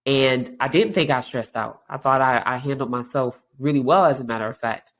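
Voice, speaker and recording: A woman's voice: very deep voice, moderate pace. Stuffy-voiced woman hosting a podcast. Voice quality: stuffy, congested. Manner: frank, conversational, many restarts. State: allergies. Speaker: podcast host. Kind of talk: casual podcast monologue.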